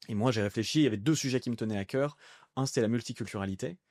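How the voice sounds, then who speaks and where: A man's voice: medium pitch, racing, a little mellow, no room sound, studio quality. A man in his thirties from France, a guest on a podcast, talking casually and rambling with lots of fillers and restarts. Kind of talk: casual conversational interview.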